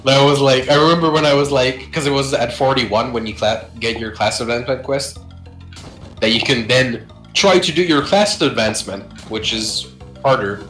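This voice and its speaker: A man's voice: medium pitch, normal speed, fairly bright tone, roomy sound, faint music behind. A young man chatting casually about old online games, rambling, swearing and laughing often. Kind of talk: casual gaming chat.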